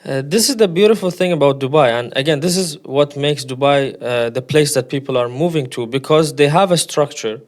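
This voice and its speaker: Guy on a podcast giving strong opinions, kinda high voice, really assertive. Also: brisk, hardly any pauses. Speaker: podcast guest.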